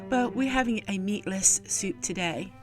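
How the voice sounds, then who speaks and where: A woman's voice: mid-range voice, moderate pace, faint music behind. Plain-spoken middle-aged woman vlogger. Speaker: homesteading vlogger and host. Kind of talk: homemaking vlog narration, explaining chores and cooking.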